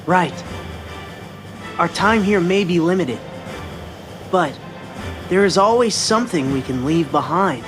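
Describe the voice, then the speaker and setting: A man's voice: high voice, expressive, a little slow, pauses often, over music. A man playing an earnest, hopeful young hero in a fantasy video game, sincere and resolute.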